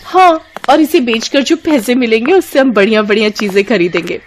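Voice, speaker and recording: A woman's voice: on the high side, moderate pace, slight background noise, close to an everyday voice. A woman voicing an eager, curious young village daughter in a storybook tale. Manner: questioning, eager. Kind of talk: storybook character voice.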